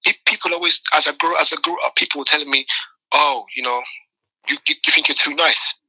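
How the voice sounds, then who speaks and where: A man talks through his life story as an interview guest. His voice is very bright and forward, and the recording is dry and close. He tells it animatedly, stumbling and repeating words as he finds his point. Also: a little high, fast talker, no room sound, low-quality recording. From Nigeria. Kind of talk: conversational interview.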